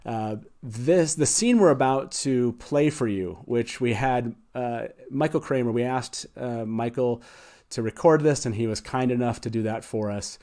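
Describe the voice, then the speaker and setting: A man's voice: mid-range voice, a little slow, a little mellow. A man, hosting a show and talking casually into a studio microphone. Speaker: show host. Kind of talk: casual talk introducing a reading. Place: small wood-panelled studio. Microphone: close studio microphone on boom arm.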